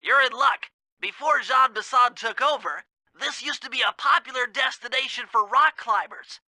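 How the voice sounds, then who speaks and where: A young, brainy turtle sidekick, nervous and precise. The voice is nasal, high and very bright, recorded dry for a cartoonish game. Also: male voice, moderate pace, no echo, full character voice. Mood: earnest briefing. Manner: earnest, precise, easily panicked. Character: nerdy, anxious young male turtle brain.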